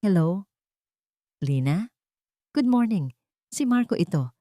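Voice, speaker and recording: A courteous man from an audio drama, acted out by a woman, speaks with careful sympathy. The voice is soft-edged and dry, confiding as if sharing a secret warning. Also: mid-range voice, sing-song, slow, no echo, pitched above the performer's own voice. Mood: polite, concerned. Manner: polite, concerned, confiding. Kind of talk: audio drama character dialogue.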